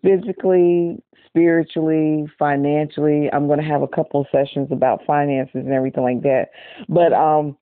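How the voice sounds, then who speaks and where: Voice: medium pitch, steady tone, normal speed, no echo. A podcast host doing a casual interview, chatty and loose, with lots of filler words. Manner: chatty, heavy um fillers, frequent "everything like that". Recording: dry, tinny phone-like call line.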